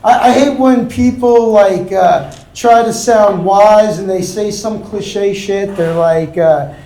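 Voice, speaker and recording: A young man from Miami doing a stand-up set on a comedy club stage, with a deadpan, offhand delivery and quick asides. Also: high-pitched. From Miami, Florida. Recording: roomy club with crowd laughter. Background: audience laughter.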